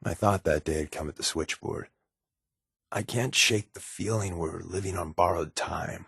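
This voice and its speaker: An American man voicing a wisecracking, secretive undercover agent in a video game, speaking in a wry, conversational way with a husky voice. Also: sits low, moderate pace, fairly bright tone, no room sound, clean studio sound, exaggerated voice. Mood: wary, earnest. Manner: wry, conversational, sardonic asides. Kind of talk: video game character voice lines.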